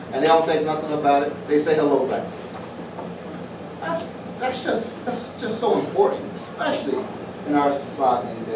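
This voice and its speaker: A middle-aged man from southwest Virginia, giving a plainspoken, informal speech to an audience at an evening community event. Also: fairly high pitch. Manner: folksy, plainspoken, repeats phrases. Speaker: former pro football player announcing a festival. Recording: roomy, distant mic, noisy event.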